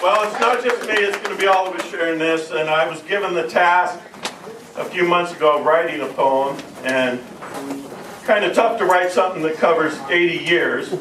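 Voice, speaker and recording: A man's voice: high-pitched, normal speed, fairly bright tone. A middle-aged man speaking informally and reading humorous verse to a family gathering, with a joking, irreverent manner. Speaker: family speaker introducing and reading a tribute poem. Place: room at a family gathering, audience behind. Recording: roomy, distant, noisy crowd recording.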